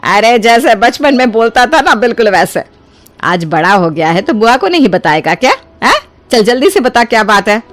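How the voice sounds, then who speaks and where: A woman playing a kindly older mother-in-law in a story, with an affectionate, coaxing, expressive manner. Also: on the high side, normal speed, faint music behind. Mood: welcoming.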